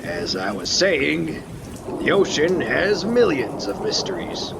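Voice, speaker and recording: A man playing a calm, wise, mysterious lighthouse keeper in a student radio play, speaking in a deliberate storytelling way with pauses. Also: a little high, creaky, full character voice. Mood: musing. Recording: rain and thunder noise behind. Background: rain and thunder.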